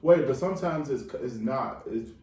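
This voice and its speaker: A man with a deep, resonant voice, talking as a guest therapist in a blunt, casual chat. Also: normal speed, echoey room, far from the mic, a little hiss or hum. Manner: blunt, conversational, laughs loud.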